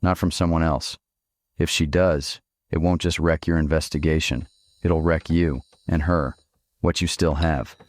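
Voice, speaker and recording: A man playing a steely middle-aged father, a ghost in an audio drama, speaking in a measured, admonishing way. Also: very deep voice, steady tone, darkish tone, dry recording, clean studio sound. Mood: stern, accusing. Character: hallucinated ghost of a father, steely, confrontational but gentle.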